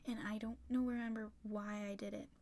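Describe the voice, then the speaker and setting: A young woman chatting casually and rambling on a livestream while drawing, swearing freely, her voice a little rough and raspy from talking for a long time. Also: mid-range voice, flat delivery, a little fast, dark tone. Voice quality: slightly raspy. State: tired, sore throat from long talking. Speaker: art streamer.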